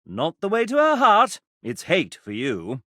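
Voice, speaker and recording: A man's voice: fairly high pitch, wildly swooping pitch, unhurried, sharp tone, no echo, studio quality, full character voice. An actor playing a sassy, theatrical male guide, narrating a video game in an arch, chatty way. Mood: dryly disapproving.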